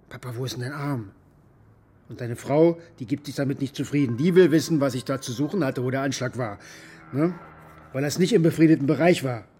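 Bitter broke war contractor dad, kinda higher voice, rambling and swearing, defensive, radio drama. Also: male voice, a little mellow, slightly rough. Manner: coarse, defensive, agitated rambling with rhetorical questions. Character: bitter, maimed ex-military contractor and father, abandoned and broke. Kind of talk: naturalistic radio drama monologue in interview form.

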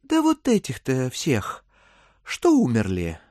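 A man acting a smooth, wheedling gentleman schemer in an audio drama, ingratiating and politely hesitant. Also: medium pitch, wildly swooping pitch, slow pace, dry recording, clean studio sound. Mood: coaxing.

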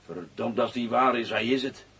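An actor playing a rough, vindictive prisoner in a radio play, suspicious, crude and menacing. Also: male voice, medium pitch, moderate pace, bright, forceful tone, exaggerated voice. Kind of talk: radio drama acting.

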